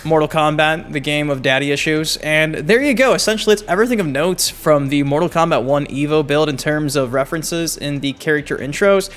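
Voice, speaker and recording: Excitable gaming YouTuber man. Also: fairly high pitch, fairly quick, hardly any pauses. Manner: excitable, swears casually. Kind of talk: casual commentary on game clips.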